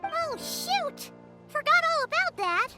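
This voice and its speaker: An extremely high, squeaky little creature from a video game. It sounds childlike and excitable. Loud music plays behind it. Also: female voice, takes it slowly, heavily acted. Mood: flustered.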